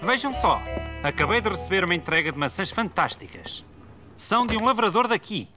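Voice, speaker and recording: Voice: medium pitch, sing-song, fairly quick, bright, forceful tone. A performer voicing a friendly, chatty, enthusiastic grocer with a European Portuguese accent in a children's cartoon. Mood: jovial. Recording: music behind the voice.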